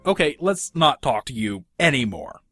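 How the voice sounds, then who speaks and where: A man's voice: medium pitch, big pitch swings, moderate pace, a bit bright, no room sound, clean studio sound, faint music behind. A man playing a short-tempered, exasperated documentary interviewer and narrator in a comedic cartoon parody.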